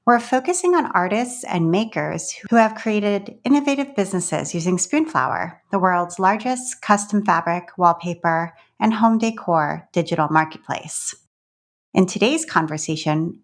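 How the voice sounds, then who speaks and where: A woman hosting a podcast, reading an introduction and asking the guest clear questions. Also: fairly low pitch, expressive, unhurried. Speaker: podcast host. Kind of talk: scripted intro then interview questions.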